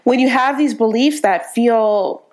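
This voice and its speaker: A woman from northern California, a writer talking as a guest on a podcast, relaxed and conversational, often restarting and laughing between thoughts. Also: a little high, measured pace. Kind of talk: casual conversational interview.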